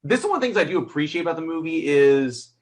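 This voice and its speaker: A man in a casual, unscripted film review, speaking haltingly and groping for his words. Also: fairly high pitch, sing-song.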